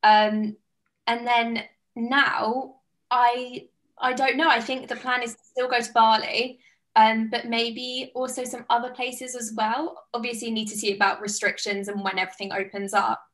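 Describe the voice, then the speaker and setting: A woman's voice: a little high, level tone, normal speed, fairly bright tone. Chatty young woman on a video call. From United Kingdom. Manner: chatty, enthusiastic, gestures with hands. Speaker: guest, online fitness coach. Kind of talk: casual video-call chat. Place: small plain-walled room indoors.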